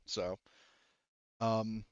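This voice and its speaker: A man working as game master, narrating an improvised story in a halting, conversational way with some casual swearing. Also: on the low side, flat delivery, clean tone, no room sound, highs cut off.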